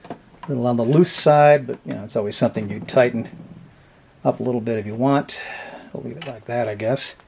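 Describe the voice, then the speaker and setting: Man, mid-pitched voice, casual unscripted review, halting, hedging, offhand host. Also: gravelly, a little mellow, a little hiss or hum. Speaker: host of a vintage radio review channel. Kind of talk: casual unscripted product demonstration.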